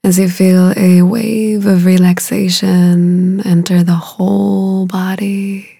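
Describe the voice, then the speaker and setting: A woman leading a guided meditation in a soothing, unhurried way, with a soft, breathy voice and long pauses between short phrases. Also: fairly low pitch, level tone, no room sound, studio quality.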